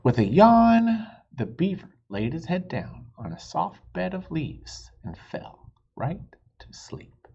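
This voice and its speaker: Slow, very animated man reading a storybook, slightly husky. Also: high voice, dull audio. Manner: asks the listeners questions, explains words simply. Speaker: children's storybook reader. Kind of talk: expressive read-aloud with asides to young listeners.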